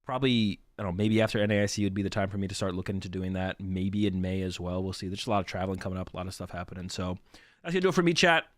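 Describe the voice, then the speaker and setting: A young man with a lowish voice talks to his stream chat in a loose, rambling way and repeats himself now and then. He is sick and his voice sounds worn. The sound is dry and close. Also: rapid-fire, no echo. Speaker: streamer hosting a card game show match.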